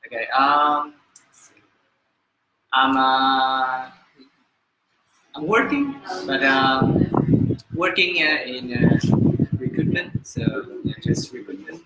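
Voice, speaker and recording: A man who works in recruitment, introducing himself casually with many pauses and fillers. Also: a little high, very animated. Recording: echoey room with background noise.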